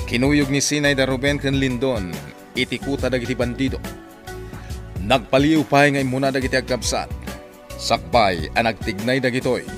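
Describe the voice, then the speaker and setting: A man with an Ilocano accent narrates a scripted radio drama recap in a dramatic style. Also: medium pitch. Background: music.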